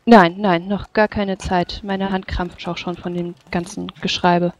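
A woman's voice: lowish voice, little pitch movement, a little slow, a little hiss or hum. A woman narrating and speaking in character in a casual tabletop fantasy game.

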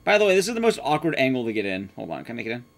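A man's voice: medium pitch, sing-song, racing. A man giving casual live commentary as he streams a speedrun, playful and sweary. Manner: sweary, playful, reacting to play. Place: indoor room at home. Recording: clear background noise, clicks.

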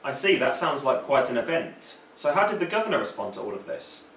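A man's voice: medium pitch, very animated, fairly quick, fairly bright tone. Young male news anchor, roomy distant sound. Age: young adult. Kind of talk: scripted news presenting. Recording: roomy, distant mic, faint noise.

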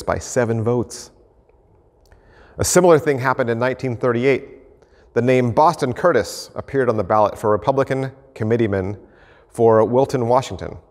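A man in his fifties, an American pastor, preaching an earnest, measured sermon to camera from an empty church sanctuary. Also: mid-range voice. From United States. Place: empty church sanctuary, indoors, mid-sized. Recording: reverberant church hall.

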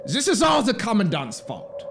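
A man reading a story puts on a fanatical military officer, full of grievance. He complains emphatically and indignantly, with an animated voice that swoops in pitch. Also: moderate pace, creaky, background noise, heavily acted. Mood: outraged. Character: fanatical, aggrieved male officer devoted to his execution machine. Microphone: close studio microphone on boom arm.

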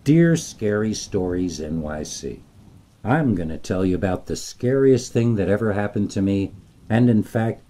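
A man reading a scripted scary story as narrator, in a dramatic, deliberate storytelling manner. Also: lowish voice, expressive, dark tone.

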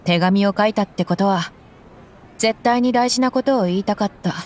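A woman's voice: medium pitch, normal speed, a little mellow, close to an everyday voice. An actress playing a tough young female desert mercenary in an anime game, with blunt, tomboyish speech. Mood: steady, reassuring. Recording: background music.

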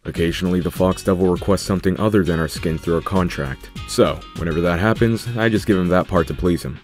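A man voicing a stern, long-suffering young man in a comic dub, with a dry, deadpan manner. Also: low voice, fast, faint music behind. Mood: dry, exasperated.

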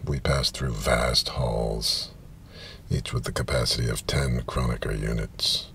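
Male reader, 50s, extremely low and creaky, deliberate halting delivery of literary prose, light ambient noise inside a car. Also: steady tone, fairly bright tone. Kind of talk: literary reading of science fiction prose. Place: inside a parked car, small space.

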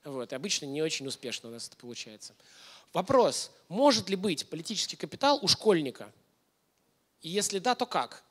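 Higher-pitched man giving an informal lecture. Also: sing-song, fairly quick, husky. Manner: informal, engaging listeners with questions. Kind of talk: conversational lecture to an audience. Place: indoor hall, on stage before a projection screen.

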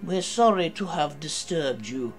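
An anxious, kindly storybook steam engine played by a man. He speaks hesitantly and earnestly in a high voice, with music behind. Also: lively intonation, measured pace. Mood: solemn, earnest. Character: timid, anxious, kind-hearted male steam engine.

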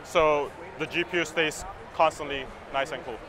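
A man's voice: fairly high pitch, fairly even intonation, normal speed, crowd noise, poor audio. A man in his thirties explaining a product at a trade show booth, earnest and agreeable, often repeating words as he explains. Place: busy expo hall floor, indoors. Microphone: camera mic, handheld close.

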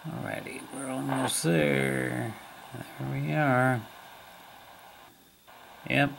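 A man giving a casual, unhurried, plain-spoken hands-on demonstration as a radio hobbyist. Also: medium pitch, flat delivery, creaky. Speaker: shortwave radio hobbyist presenter. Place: indoors at a wooden table. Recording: loud radio static and hiss. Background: shortwave radio static, tones and ticking.

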